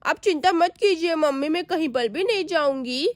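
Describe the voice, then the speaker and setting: एक महिला एक छोटी गाँव की बच्ची की आवाज़ निकालती है, ऊँची और रुआँसी, डर के बावजूद हिम्मत रखती।. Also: female voice, lively intonation, moderate pace, no room sound. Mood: cheerful. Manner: high, tearful, pleading. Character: little village girl, frightened but brave. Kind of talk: storytelling voice acting.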